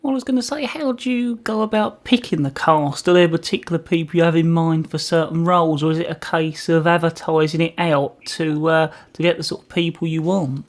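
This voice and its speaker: A woman with a slightly low voice works as a radio interviewer. She puts her questions conversationally, in the informal style of community radio. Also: a little fast, few pauses.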